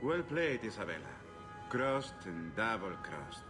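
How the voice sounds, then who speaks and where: A man playing a smooth, menacing slaver crime boss in a video game, silky, sardonic and theatrical. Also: fairly low pitch, very animated, measured pace, over music. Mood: mocking.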